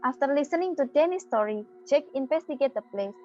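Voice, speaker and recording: A woman's voice: high-pitched, animated, fast, dark tone, soft background music. A young adult woman reading scripted dramatic narration for a horror story. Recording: muffled, noisy home-style audio.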